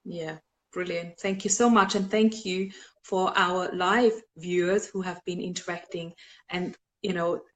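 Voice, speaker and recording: A woman's voice: lowish voice, fairly even intonation, unhurried, a bit bright. A woman with an Austrian accent hosting a live online show, chatting casually with many fillers.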